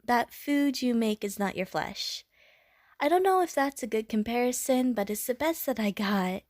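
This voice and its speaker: A woman's voice: a little high, lively intonation, moderate pace, darkish tone, no echo, studio quality, lightly acted. A woman playing an innocent young water slime creature in an audio roleplay, with a childlike, playful, gushing manner. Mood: gentle teasing. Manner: childlike, playful, gushing, punctuated with little bloop noises. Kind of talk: audio roleplay addressed to the listener.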